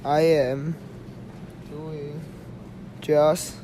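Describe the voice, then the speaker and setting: Man playing an extremely slow male sloth. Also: a little high, little pitch movement. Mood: placid, unhurried. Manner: word-by-word delivery with long gaps. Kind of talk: amateur radio play acting. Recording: noisy amateur recording.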